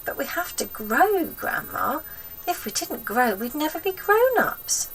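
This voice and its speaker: A woman voicing a polite, meek eight-year-old boy from a children's storybook, softly spoken. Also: high voice, big pitch swings, unhurried, vocal fry, distant mic, a little hiss or hum, pitched above the performer's own voice, full character voice, rough recording. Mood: meekly protesting. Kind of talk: acted children's storybook reading.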